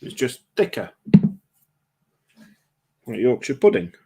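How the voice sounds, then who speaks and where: A man's voice: mid-range voice, sing-song, slow pace, dark tone, slightly rough. A man, co-hosting a livestream with jokey, teasing banter. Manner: joking, teasing. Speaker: livestream co-host. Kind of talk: casual banter.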